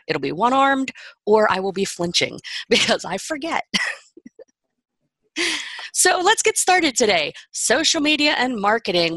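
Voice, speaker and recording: A woman hosts a relaxed podcast chat, talking quickly with asides and little laughs. Her voice sits at a middle pitch. The sound is dry and close. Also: no echo. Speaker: podcast host. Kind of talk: casual podcast discussion.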